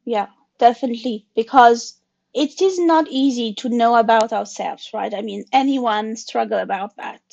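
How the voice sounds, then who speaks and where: A woman's voice: on the high side, moderate pace, creaky, darkish tone. A woman in her forties, a native French speaker, talks through her answers in English with a slightly raised voice. She hesitates and restarts often, searching for words. The recording sounds dull and muffled. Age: 40s. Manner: thoughtful, hesitant, with restarts and non-native phrasing. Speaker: guest. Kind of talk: conversational interview.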